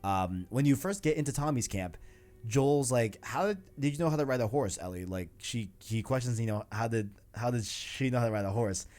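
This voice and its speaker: A man's voice: mid-range voice, brisk, a little mellow. A man hosting a casual video game discussion, talking in a rambling, enthusiastic, slangy way. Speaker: podcast host.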